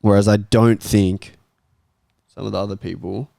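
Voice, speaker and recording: A man's voice: lowish voice, fairly even intonation, moderate pace, gravelly, warm tone, no room sound. A man hosting a casual football fan panel, speaking in an informal conversational way. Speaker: host of a football fan talk show.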